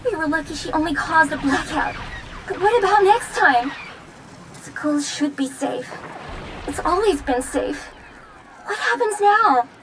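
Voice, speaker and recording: An anxious female citizen in a video game, high-pitched and urgent. She sounds worried, with clear vehicle-like noise behind her. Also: expressive, measured pace, pauses often, a bit bright, heavily acted. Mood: frightened. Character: anxious young female citizen recording a message.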